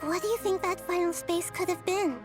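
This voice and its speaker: A woman playing a small, childlike girl sage in a video game, composed and gentle as she explains. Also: very high-pitched, a little fast, over music. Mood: thoughtful. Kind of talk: video game character dialogue.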